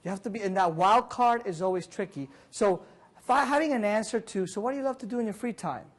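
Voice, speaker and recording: A man in his forties giving an animated career seminar in a large conference room, moving about and quizzing the audience, picked up by a distant camera. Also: high-pitched, fast. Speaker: seminar speaker. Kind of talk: interactive career seminar talk. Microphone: far camera, room mic.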